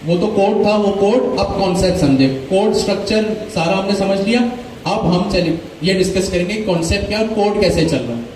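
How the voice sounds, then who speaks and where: A man's voice: sits high, normal speed, darkish tone, a little hiss or hum. A man teaching a programming class, asking questions and answering them himself. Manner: asks questions and answers them himself, mixing English code terms. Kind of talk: live coding class lecture. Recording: echoey room, mic far off.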